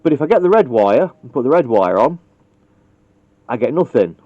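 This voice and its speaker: A man's voice: mid-range voice, sing-song, normal speed, dry recording. A man casually demonstrating how to wire a radio, thinking aloud and joking at his own expense. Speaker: CB radio technician. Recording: dull lo-fi recording.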